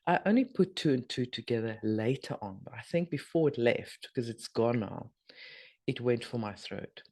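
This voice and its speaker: A middle-aged woman, hoarse and husky from a sore throat, chatting casually as host of a spiritual video update with rambling, trailing asides. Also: very deep voice, lively intonation, normal speed, a little mellow. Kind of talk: casual spoken energy update.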